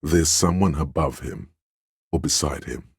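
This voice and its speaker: Extremely deep, commanding inner voice. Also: male voice, husky, no room sound, studio quality, exaggerated voice. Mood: grave, measured. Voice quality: very deep, resonant bass. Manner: imperious, measured, scolding and goading. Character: commanding, domineering inner voice of authority in a cop's mind. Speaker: video game voice actor. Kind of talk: dramatic game narration, inner-voice lines.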